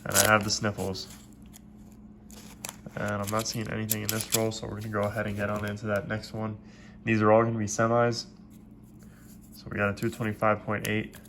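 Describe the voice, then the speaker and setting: A stuffed-up, congested man talks viewers through his task at a home desk in a steady, methodical way. His voice sits low and barely rises or falls. A clear layer of noise runs under the recording. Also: brisk, pauses often. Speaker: coin roll hunting video host. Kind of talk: casual hands-on commentary. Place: at a desk indoors.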